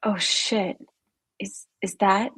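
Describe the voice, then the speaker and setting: A woman's voice: mid-range voice, steady tone, slow, a bit bright, slightly rough, exaggerated voice. A woman playing a playful young female camp counselor in a live table read. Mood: alarmed.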